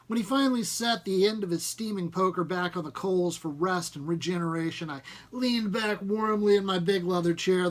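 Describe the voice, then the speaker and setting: Man reading a short story aloud. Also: a little high. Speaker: story reader. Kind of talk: first-person short story narration, read aloud.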